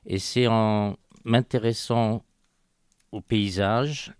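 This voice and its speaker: Older male radio guest, faint Parisian accent, low-pitched, soft and measured. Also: steady tone, clear, resonant tone, no echo, clean studio sound. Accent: slight Parisian French. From Paris, France. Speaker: radio guest, heritage association president. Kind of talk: casual radio interview. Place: radio studio.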